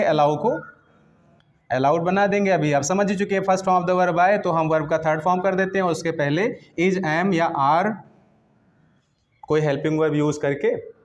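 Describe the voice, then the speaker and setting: High-pitched Indian man teaching. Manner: repeats phrases and asks himself questions before answering. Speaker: English grammar teacher. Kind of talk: classroom lesson, explaining step by step in Hindi mixed with English terms.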